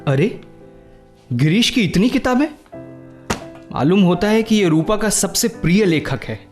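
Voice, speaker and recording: A vain, teasing young suitor in a radio play. His voice is high and he takes his time, savouring his own flamboyant jokes. Soft music sits behind him. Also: lively intonation, a little slow. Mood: playful. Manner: flamboyant, jokey, self-satisfied, drops English phrases. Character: vain, teasing, lovesick handsome young man. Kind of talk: Hindi radio play acting.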